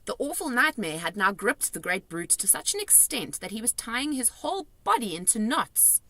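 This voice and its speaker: Woman, children's story reader, expressive swoops, higher-pitched, bright tone, dry sound. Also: normal speed, no echo. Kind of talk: animated storybook narration for children.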